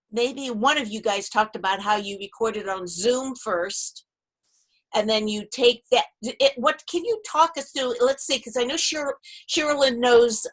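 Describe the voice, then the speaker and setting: Relaxed woman asking the group's questions. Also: fairly high pitch. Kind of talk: casual moderating.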